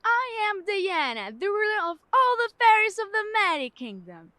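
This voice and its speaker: A bossy little girl, in an audio drama. Her voice is very high, bright and dry, with a theatrical, imperious child's manner. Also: very animated, normal speed, no room sound, heavily acted. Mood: bossy, playful. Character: imperious, playful seven-year-old girl playing fairy queen.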